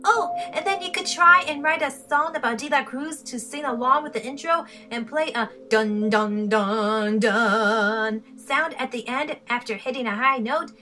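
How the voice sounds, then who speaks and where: A woman voicing an earnest, plucky young boy musician in a fan audio drama, eager and quick to bristle. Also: sits high, sharp tone, faint music behind. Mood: eager, inventive. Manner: eager, boyish delivery.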